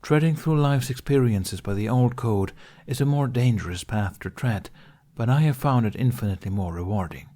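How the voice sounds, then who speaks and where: Man voicing a measured, wise Jedi master. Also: mid-range voice, dark tone, pitched above the performer's own voice, close to an everyday voice. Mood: solemn. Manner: measured, counselling. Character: wise, gentle older male Jedi master. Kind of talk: storybook character voice.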